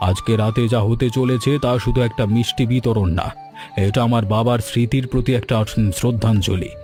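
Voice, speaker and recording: A man's voice: medium pitch, fairly even intonation, fairly quick, close to an everyday voice. An actor voicing an earnest, sentimental man from a village sweet-shop family in a cartoon. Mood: tender. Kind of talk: Bengali cartoon dubbing. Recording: clear music bed behind the voice.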